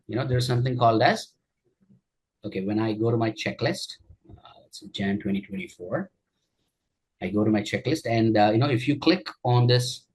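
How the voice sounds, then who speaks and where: Man, husky voice, software trainer, walking through steps, lots of "okay" and "you know". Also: medium pitch, low-quality recording. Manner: explanatory, frequent fillers and "okay" checks. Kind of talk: screen-share walkthrough tutorial.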